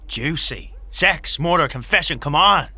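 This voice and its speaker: A man's voice: on the high side, lively intonation, unhurried, bright, forceful tone, no echo, full character voice. A man playing a brash, foul-mouthed, teasing drinking companion in a fantasy audio drama, theatrical and goading. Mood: goading, playful.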